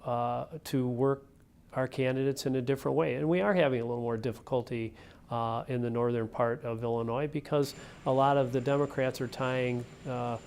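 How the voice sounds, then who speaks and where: A man, a party official, answering an interview in a halting way as he searches for words. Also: mid-range voice, vocal fry, darkish tone. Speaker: political party chairman.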